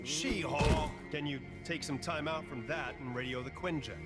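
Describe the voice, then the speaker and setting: A man's voice: on the high side, moderate pace, music in the background, close to an everyday voice. A man playing an earnest, authoritative superhero team leader in a video game cutscene. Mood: businesslike, steady. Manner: businesslike, authoritative.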